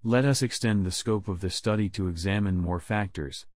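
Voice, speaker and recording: A man reading scripted language test sentences aloud in a measured, deliberate way. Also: on the low side, no room sound. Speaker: test prompt reader.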